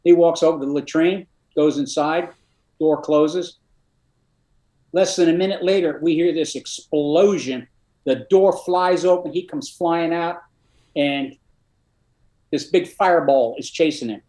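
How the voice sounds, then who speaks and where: Older male guest, retired officer, high-ish voice, dry deadpan anecdotes, echoey room. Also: a little slow, pauses often. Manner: dry, matter-of-fact storyteller with frequent uh fillers. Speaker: retired army colonel, guest. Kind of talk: casual interview storytelling.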